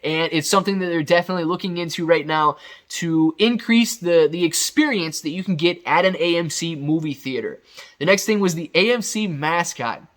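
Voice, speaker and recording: A man's voice: on the high side, a little fast, a bit bright. A man hosting a casual, off-the-cuff news recap, talking straight to viewers in an emphatic, enthusiastic, conversational way. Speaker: video host recapping company news.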